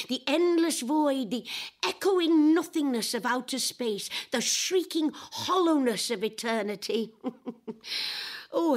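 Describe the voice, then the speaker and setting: An eccentric older lady adventurer in an audio drama, flamboyant and a bit boozy. Her husky voice sits fairly high and swoops theatrically up and down. She confides in the listener with a drawl and relishes each word. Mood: wistful, melodramatic. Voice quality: husky, breathy.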